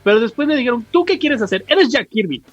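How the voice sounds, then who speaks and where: A man's voice: extremely high pitch, animated, fast talker, no room sound. A Mexican man co-hosting a podcast, explaining things casually in slangy, rambling talk. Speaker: podcast co-host. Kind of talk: casual explanatory chat about comics. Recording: dull podcast audio with music.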